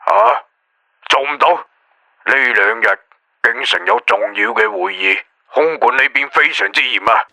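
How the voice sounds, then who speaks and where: A man's voice: mid-range voice, animated, unhurried, bright, forceful tone, no echo, full character voice. A man voicing an impatient, stern official in an audio drama, curt and dismissive, with a Hong Kong Cantonese accent.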